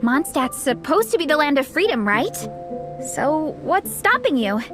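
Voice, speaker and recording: Upbeat girlish hero-in-training, game role: high-pitched, lively intonation, gushing encouragement. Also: a little slow. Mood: cheerful, friendly. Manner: gushing, animated, giggly. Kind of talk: video game voice acting. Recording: music behind the voice.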